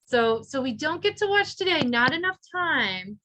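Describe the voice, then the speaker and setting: A woman's voice: sits high, sing-song, normal speed, pushed and bright, low-quality recording. A woman teaching an adult English class, patient and encouraging, who models her words carefully for her learners.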